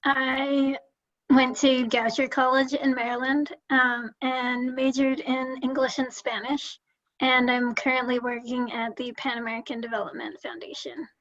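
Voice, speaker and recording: High, flat young woman from Hawaii. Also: a little slow, highs cut off. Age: 20s. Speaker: service corps volunteer.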